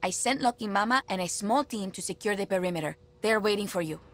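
An actress voicing a commanding rebel leader in a video game, giving orders in a decisive way. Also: female voice, medium pitch, quick pace, close to an everyday voice.

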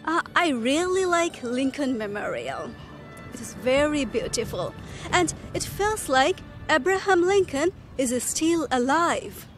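She is a young woman from Bangladesh being interviewed outdoors. Her voice sits high and her answers are short and friendly. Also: big pitch swings, unhurried, soft background music. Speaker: tourist interviewee. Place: outdoors in a city.

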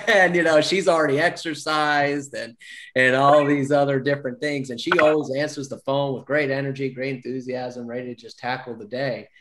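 A man's voice: medium pitch, steady tone, moderate pace, a bit bright. A man in his thirties, hosting and coaching casually on a group video call, encouraging and repeating his points. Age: 30s. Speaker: roundtable host, coach. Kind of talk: casual meeting moderation. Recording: noisy video call with clicks.

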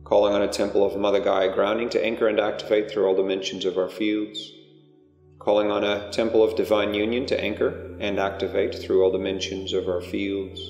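A man leading a guided meditation, giving unhurried, hushed instructions with pauses between phrases. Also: fairly low pitch, level tone. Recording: long echoing reverb, music underneath. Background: music.

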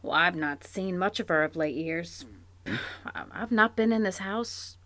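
A woman's voice: fairly low pitch, animated, measured pace, a bit bright. A woman playing a guarded, loyal farm wife. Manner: guarded, pointed replies. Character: sharp, loyal farm wife defending a neighbor. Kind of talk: live radio play acting. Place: home closet or desk. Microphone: home mic over video call.

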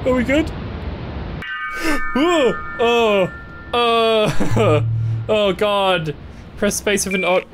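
A man's voice: sits high, drawn-out words, pauses often, over music. A young man in his twenties streaming a horror game on a headset mic, reading the screen aloud, joking and yelping at the scares. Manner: jokey, reads on-screen text aloud, laughs and yelps at scares. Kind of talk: horror game let's play commentary. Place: small dark room indoors.

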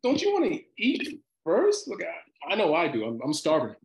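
Male actor as husband in his forties, animated intonation, hesitant, playfully deflecting, table-read drama. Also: high-pitched, moderate pace, exaggerated voice. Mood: sheepish, deflecting. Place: indoor room on a video call. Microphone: video call microphone.

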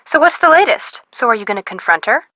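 A woman voicing a bubbly, excitable young friend who loves cooking and chats eagerly on the phone. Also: a little high, fast, no echo, exaggerated voice. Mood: chatty. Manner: chatty, excitable, gushing. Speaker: game voice actor. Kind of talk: video game voice acting over a phone call.

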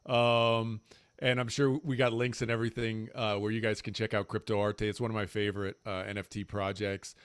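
A man's voice: on the low side, little pitch movement, fairly quick, smooth, dry recording. A man in his forties hosting a panel over a video call from his home, upbeat and chummy, with loose fillers. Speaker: panel host. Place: home room with shelves. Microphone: large desk mic on video call.

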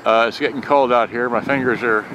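A man's voice: medium pitch, steady tone, a little fast. A man rambling casually and muttering to himself as he shows off an item he is selling, speaking unscripted outdoors. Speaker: seller demonstrating a repaired boombox. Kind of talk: casual unscripted product demo. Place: outdoors in a grassy open yard. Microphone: handheld camera, speaker behind it. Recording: loud wind and outdoor noise.

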